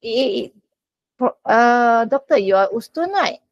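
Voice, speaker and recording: A woman with a Malaysian accent moderating an online session in informal talk, polite and halting, over dull lo-fi call audio. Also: on the high side, lively intonation, no room sound. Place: online video meeting.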